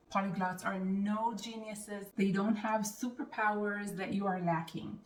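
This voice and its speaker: A woman, a Hebrew speaker teaching Hebrew to camera in an earnest, encouraging, deliberate way. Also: mid-range voice. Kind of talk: direct-to-camera language lesson. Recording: roomy sound from a distance.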